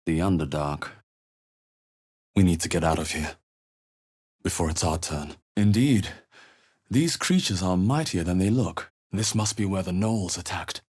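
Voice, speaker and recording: Husky low-voiced male adventurer. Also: measured pace, pauses often, darkish tone. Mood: resolute, dry. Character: adult male adventurer hero, wary, thoughtful, dry-humoured. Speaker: video game voice actor. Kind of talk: fantasy role-playing game voice lines.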